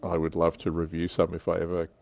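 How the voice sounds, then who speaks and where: A man's voice: deep voice, completely flat delivery, fast talker, vocal fry, a little mellow. A man, hosting a casual panel chat. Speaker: host.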